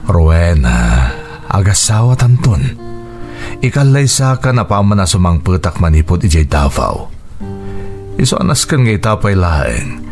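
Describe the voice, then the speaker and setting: A man's voice: low voice, very animated, a little slow, a little mellow, soft background music, full character voice. An actor with an Ilocano accent playing an earnest grown son and father in a Philippine radio drama. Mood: earnest, reassuring. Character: earnest adult son, widowed father planning to remarry.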